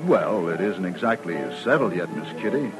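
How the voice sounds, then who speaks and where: An actor playing a restless former soldier in an old-time radio western, earnest and well-spoken with an American accent. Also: male voice, low voice, expressive, moderate pace, over music, poor audio. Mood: polite, guarded. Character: restless, bored ex-soldier seeking a marshal's job. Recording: old radio drama recording.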